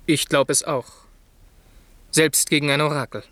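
An actor playing an earnest, ardent young noble suitor in a radio play, speaking fervent, formal verse. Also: male voice, a little high, flat delivery, normal speed, pushed and bright, dry recording.

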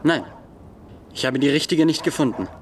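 A man's voice: fairly high pitch, moderate pace, slight background noise, lightly acted. An actor playing a reserved, thoughtful student in a radio drama, guarded and skeptical in his replies. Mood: calm, detached. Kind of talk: German literary radio drama.

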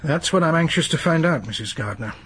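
An actor playing a polite, measured police detective in a radio drama, asking careful questions. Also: male voice, fairly high pitch, lively intonation, a bit bright, breathy edge, heavily acted. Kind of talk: 1980s BBC radio drama acting.

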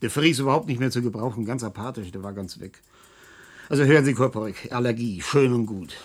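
An actor playing an irascible, domineering professor and chief physician in a German radio drama, blustering, sarcastic and impatient. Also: male voice, mid-range voice, brisk, slightly rough, natural voice. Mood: brisk, worried.

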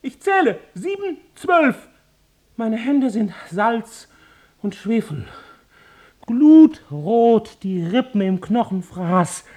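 Very slow, incantatory apocalyptic visionary woman. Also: a little high, sing-song, a little mellow, full character voice. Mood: feverish, ominous. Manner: incantatory, broken into short poetic phrases. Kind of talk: poetic radio drama monologue.

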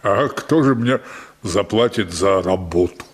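An actor playing a gruff, indifferent male dentist in a radio play, curt and given to grunting. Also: sits low. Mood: cold, dismissive. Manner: curt, grunting, unhurried bedside muttering. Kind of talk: radio play character acting.

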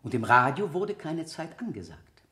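A relentless courtroom prosecutor in a vintage radio drama. His fairly high voice rises and falls sharply with cutting irony and theatrical insistence, ringing in a roomy, echoing space. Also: very animated, normal speed, bright, forceful tone. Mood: probing, ironic. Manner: theatrical, rhetorical, insistent cross-examining. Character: relentless male public prosecutor, scornful and cuttingly ironic. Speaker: actor in a radio play. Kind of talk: 1970 German radio drama courtroom acting.

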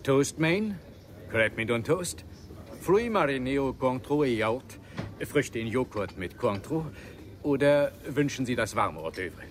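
An actor in a radio play playing a polite, formal waiter who recites in several languages. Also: male voice, mid-range voice, expressive, measured pace, slight background noise, natural voice. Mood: formal, attentive. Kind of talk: 1990s German radio play acting.

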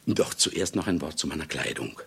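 An older man, an actor in a German radio play, playing a vain, boozy, brusque writer with a gravelly, husky voice and a theatrical, self-mocking manner. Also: rumbling low, animated, moderate pace, a bit bright, heavily acted. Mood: wry, self-amused. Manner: theatrical, self-ironic, blustering, chuckling asides.

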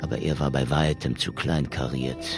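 Hard-boiled detective narrator, bottomless low pitch, brooding, noir radio drama, music underneath. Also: male voice, fairly even intonation, normal speed. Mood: grim, defiant.

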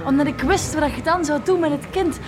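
A woman's voice: high-pitched, fairly quick, lightly acted. A woman playing an anguished, adulterous wife and mother in a theatrical radio horror comedy, pleading dramatically. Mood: confessing.

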